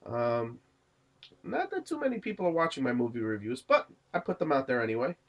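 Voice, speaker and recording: Young male reviewer, sick and coughing, rambling casual delivery, average pitch. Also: sing-song, a little fast, muffled recording. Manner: rambling, many fillers and restarts. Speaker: movie reviewer, channel host. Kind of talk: casual spoken movie review.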